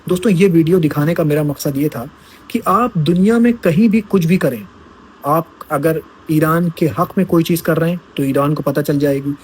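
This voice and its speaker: A man's voice: on the high side, moderate pace, darkish tone, husky, low-quality recording. A man giving casual news commentary, talking straight to his viewers as friends and asking rhetorical questions.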